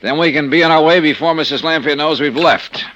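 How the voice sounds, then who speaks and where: An actor playing a wry, skeptical American insurance investigator in old-time radio style, dry and sardonic. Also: male voice, a little high, brisk, dull audio, background noise, lightly acted. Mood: wary, sardonic. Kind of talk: old-time radio detective acting and narration.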